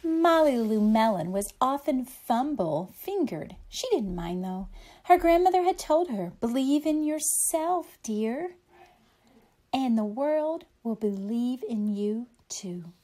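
A woman's voice: fairly high pitch, very animated, slow pace, dark tone. A woman reading a picture book aloud expressively for young children, explaining things simply like a teacher.

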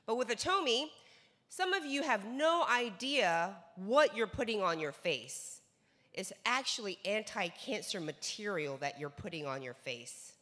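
A woman's voice: medium pitch, lively intonation, unhurried, bright, forceful tone. Emphatic woman lecturing on a stage. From North America. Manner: emphatic, repeats words for stress. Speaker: seminar speaker. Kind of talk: motivational sales lecture. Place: large conference stage. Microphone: handheld mic. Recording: hall reverb.

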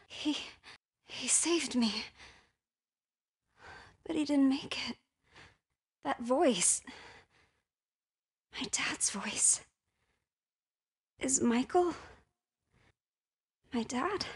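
A guarded, sarcastic young American woman in a video game. Her voice is high and bright with a breathy edge. She speaks expressively, as someone troubled by her own powers. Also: full character voice. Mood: sad, resolute. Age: young adult.

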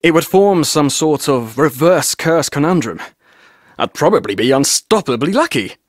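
A man's voice: fairly high pitch, very animated, normal speed, dry recording, studio quality. An actor playing a chatty, roguish male tour guide in a video game, with a glib, jaunty, theatrical way of telling things. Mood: breezy, joking. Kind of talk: video game character dialogue.

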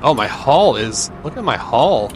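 A man's voice: mid-range voice, big pitch swings, unhurried. Man doing casual game commentary over noisy ambience. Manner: thinks aloud, asking himself questions. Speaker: gamer playing and commenting on a video game.

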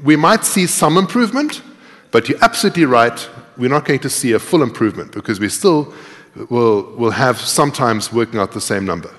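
A middle-aged man presents a programming demo with a mid-pitched voice. He talks informally and thinks aloud as he types, with some self-deprecation. The sound carries the long reverb of a lecture hall. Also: lively intonation, a little fast, a bit bright. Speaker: conference speaker, programmer. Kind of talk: live coding demo talk, explaining while typing. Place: large tiered lecture hall, indoors.

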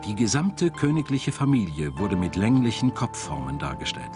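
A man's voice: lowish voice, sing-song, moderate pace. A man reading scripted narration for a documentary as a studio voice-over. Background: music.

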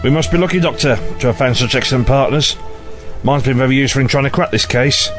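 A blunt, impatient male police detective voiced by an actor at an ordinary middle pitch. He talks sardonically in an audio drama, with music clearly playing behind him. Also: fast talker, lightly acted. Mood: dry.

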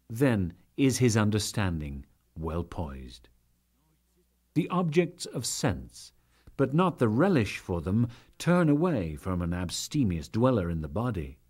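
A man giving a dramatic, solemn and measured reading of scripture as an audiobook narrator. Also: lowish voice, a little mellow. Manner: solemn, measured, theatrical delivery with archaic diction.

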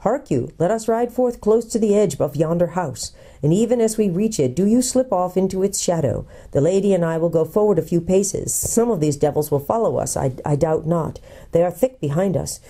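A woman's voice: lowish voice, animated, moderate pace, mellow. A woman voicing a bold prince in a storybook, speaking sternly in formal, old-fashioned courtly language. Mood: resolute. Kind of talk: acted audiobook character.

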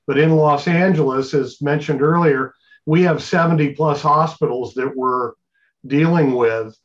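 A man's voice: a little high, measured pace. An older man explaining radio operating practice casually as a co-presenter, heard through dull video-call audio.

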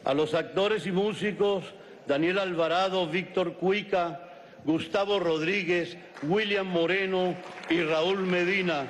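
An older man with a Venezuelan accent reads a formal resolution at a podium in a large legislative chamber, in a measured, declamatory way. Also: high voice, steady tone. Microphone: podium microphones. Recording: long reverb of a large hall.

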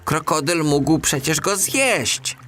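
A man voicing a small, kind, anxious clay toy that exclaims in a childlike, excitable way in a children's storybook. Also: on the high side, slow, soft background music, pitched above the performer's own voice. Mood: admiring.

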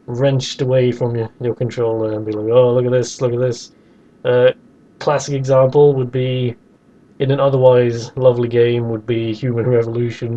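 Man with a mellow voice, slightly muffled. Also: mid-range voice. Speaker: podcast host. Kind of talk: opinionated casual discussion of video games.

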